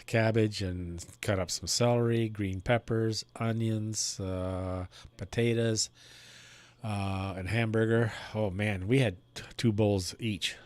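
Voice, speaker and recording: Slow-talking man with a low voice. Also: steady tone, darkish tone, no room sound. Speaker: amateur radio operator. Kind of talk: casual chat.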